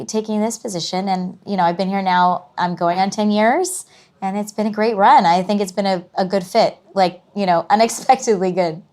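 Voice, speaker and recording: A woman's voice: medium pitch, a little mellow. A middle-aged woman speaking as an interview guest, reflective and conversational, often trailing off into "you know" as she thinks. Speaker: guest, museum curator.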